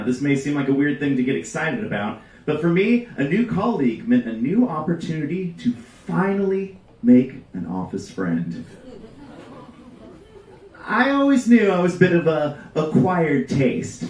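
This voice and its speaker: A man reading a comedic story aloud to a live audience with a deadpan, deliberate delivery. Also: a little high. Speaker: reader at a live storytelling show. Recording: roomy live venue, distant mic.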